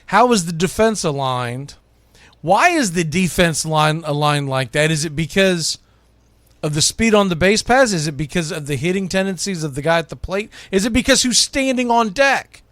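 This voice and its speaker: Male sports radio host, slightly high register, emphatic phrasing with dramatic pauses, dry close sound. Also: expressive, a bit bright, no echo. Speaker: baseball radio host and play-by-play announcer. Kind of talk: opinionated sports monologue, counting down a list.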